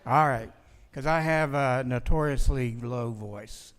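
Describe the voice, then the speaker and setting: A man lecturing from a podium in a museum auditorium, projecting his voice in a plain, steady way. Long reverb from the hall hangs behind his words. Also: medium pitch, unhurried, clean tone. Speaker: conference speaker, museum historian. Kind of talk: public lecture. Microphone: podium microphone.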